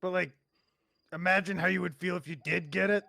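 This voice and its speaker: A man playing a cocky, smooth-talking warlock gambler in a tabletop game, coaxing and persuasive. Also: high-pitched, fairly even intonation, fairly quick, sharp tone, no echo, pitched above the performer's own voice, heavily acted. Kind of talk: in-character tabletop roleplay.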